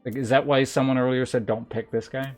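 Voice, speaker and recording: A man streams a game in an average-pitched voice. He talks informally, moving between reading on-screen text and thinking aloud. Music plays clearly behind his voice. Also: steady tone, fairly quick, a little mellow.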